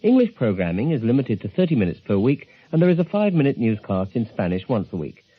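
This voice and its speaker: A man presenting a radio programme, giving measured, informative scripted narration. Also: mid-range voice, big pitch swings, slightly rough. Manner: measured, informative announcer delivery. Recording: tinny narrow-band 1970s shortwave broadcast recording.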